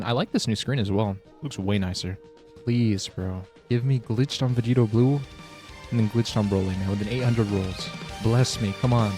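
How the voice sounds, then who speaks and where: A man of middle pitch talking through a game in a loose, slangy way and calling his viewers fellas and bro. He is a little under the weather, so his voice sounds a touch rough. Faint music plays quietly behind him. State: a little sick, voice slightly off. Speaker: gaming channel host. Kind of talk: casual gameplay commentary.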